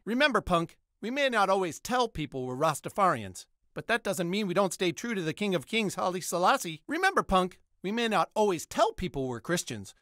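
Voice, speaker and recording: Bright-toned, excitable cartoon suburban dad. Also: male voice, extremely high pitch, dry recording, clean studio sound, full character voice. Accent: American. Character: suburban cartoon dad, secretive, frazzled, prone to foul-mouthed rages. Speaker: video game character. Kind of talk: animated comedy game acting.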